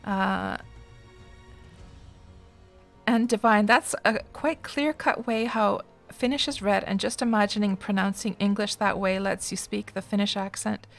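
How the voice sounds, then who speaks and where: Expressive middle-aged woman chatting. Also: mid-range voice, unhurried, gravelly, faint music behind. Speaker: live streamer. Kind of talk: casual chat with stream viewers.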